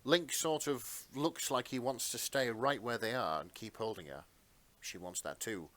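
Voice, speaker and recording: Bright-voiced man narrating a dramatic story. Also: mid-range voice, moderate pace. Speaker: podcast narrator and co-host. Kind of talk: dramatic fan fiction narration.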